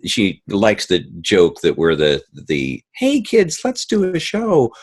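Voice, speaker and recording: A middle-aged or older man with a very low, husky voice. He talks casually as an interview guest, sounding earnest and keen. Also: wildly swooping pitch, takes it slowly. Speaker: interview guest, audio drama composer.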